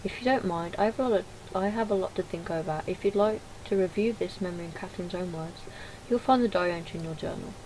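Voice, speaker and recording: A woman with a slightly low voice reads story dialogue from a game aloud and drops into casual commentary. The home recording has clear background noise. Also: fast, darkish tone, rough recording. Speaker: gaming video creator.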